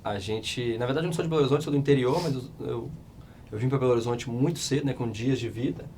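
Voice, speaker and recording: Hoarse, fast-talking Mineiro man. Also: medium pitch, a little hiss or hum. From Minas Gerais, Brazil. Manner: chatty, animated storyteller, many restarts. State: hoarse, losing his voice. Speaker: startup CEO, podcast guest. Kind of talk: casual interview storytelling.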